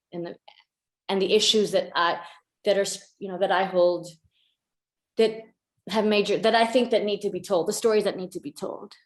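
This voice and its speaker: Tanzanian-raised woman photographer, 30s, chatty interview answers, echoey room. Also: mid-range voice. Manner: chatty, restarts often, laughs. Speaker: conservation photographer.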